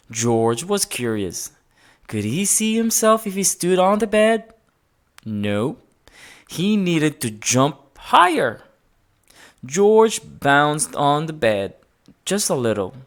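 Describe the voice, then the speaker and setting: Low-voiced, expressive woman reading a storybook. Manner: expressive, dramatic emphasis, sound-effect words. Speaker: storybook reader. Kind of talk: read-aloud children's story narration.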